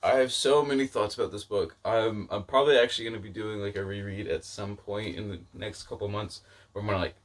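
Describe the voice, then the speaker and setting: A man's voice: lowish voice, fairly even intonation, fast talker, distant mic. A man giving a casual, rambling book-review chat to viewers, informal and self-deprecating in his delivery. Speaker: book vlogger.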